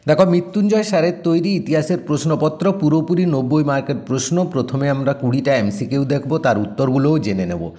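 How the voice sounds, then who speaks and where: A man's voice: on the high side, a little fast, hardly any pauses, dark tone. A man teaching exam revision, reading out questions and answers and directly instructing his students as he goes. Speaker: exam-prep teacher and presenter.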